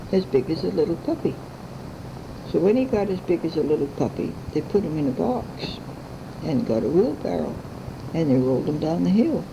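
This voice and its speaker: An elderly woman tells folk tales as a grandmother would at bedtime, in plain homespun phrases. Her voice is gravelly with creak, and the home recording has clear background noise. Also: low voice. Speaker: grandmother storyteller. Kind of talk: informal oral bedtime storytelling. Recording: noisy amateur home recording.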